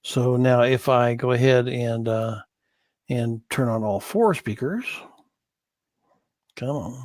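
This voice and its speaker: A man giving an informal screen-share tutorial as an instructor, thinking aloud in a halting way and correcting himself as he goes. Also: mid-range voice, darkish tone, no room sound, clean studio sound. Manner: halting, hesitant delivery with self-corrections. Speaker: course instructor in church sound.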